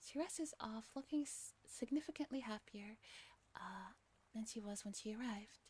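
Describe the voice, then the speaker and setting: Soft spoken girl streamer, woman w kinda high voice reading a visual novel asmr style, giggly, stumbles a bit. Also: moderate pace, husky.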